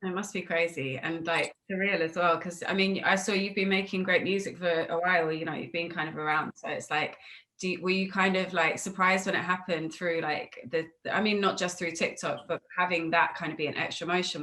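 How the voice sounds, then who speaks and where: A woman's voice: lowish voice, steady tone, a little fast, fairly bright tone, poor audio. A woman chatting casually as a music interviewer on a video call, asking rambling, chatty questions peppered with filler phrases. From United Kingdom.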